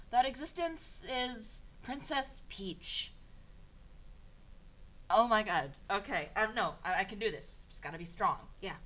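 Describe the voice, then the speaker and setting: A woman reads a story aloud in an informal, theatrical way. Her pitch moves around a lot, and her manner is sardonic and exasperated, as if narrating under protest. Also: a bit bright, low-quality recording. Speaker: narrator of a comedic fan fiction reading. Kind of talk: informal dramatic reading with asides.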